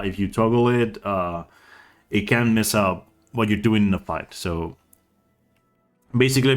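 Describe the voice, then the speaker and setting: A man with a fairly low voice talks through a game tutorial in a casual, unscripted way. He is hesitant and halting, often trailing off before picking up again. Music plays clearly behind him. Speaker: speedrunner giving a game tutorial.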